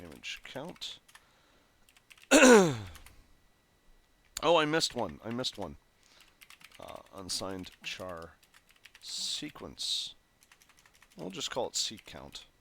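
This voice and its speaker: A man's voice: low voice, wildly swooping pitch, extremely slow, vocal fry, pauses often, fairly bright tone, a little hiss or hum. A man with a phlegmy throat walking through code as he types, thinking aloud with fillers and self-corrections. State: phlegmy, clearing his throat. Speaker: programming tutorial host. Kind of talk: casual coding walkthrough. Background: keyboard typing and clicks.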